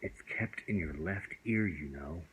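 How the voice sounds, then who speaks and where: A man's voice: deep voice, measured pace, husky, voice pushed down, full character voice, poor audio. A man voicing a cheerful, kindly magic dragon in a bedtime storybook, chatty, playful and grandly whimsical. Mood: quiet, reassuring.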